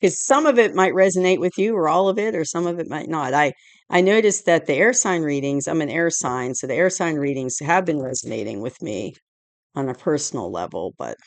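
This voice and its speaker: A hoarse woman recovering from a cold, giving a rambling, casual tarot reading indoors at home, full of "you know" and "like". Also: sits low, expressive, a little fast, no room sound. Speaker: tarot reader. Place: indoors at home, dim room lit by a candle and lamp.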